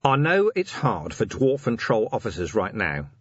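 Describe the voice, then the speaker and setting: A man voicing a weary, decent police commander in a storybook, gruff and dryly sardonic, talking plainly and firmly. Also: lowish voice, huge pitch swings, normal speed, dry recording, dull audio, full character voice. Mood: rousing, firm. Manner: dry, sardonic, rousing plain talk.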